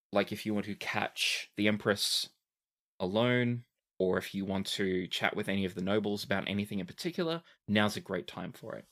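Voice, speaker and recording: A game master narrating a tabletop fantasy game in a deliberate, descriptive storytelling manner. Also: sits low, a bit bright.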